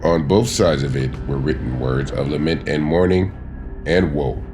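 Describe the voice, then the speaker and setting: A man narrating a dramatized scripture audiobook in a solemn, measured and grave manner. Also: very deep voice, music in the background.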